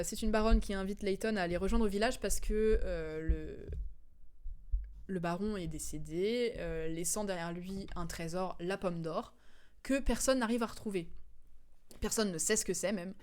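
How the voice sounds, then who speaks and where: A woman's voice: mid-range voice, measured pace, long pauses, a little mellow. A woman streamer chatting casually and digressively as she recaps a video game's story, with a giggly manner. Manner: chatty, digressive, giggly, acts out quotes in slang.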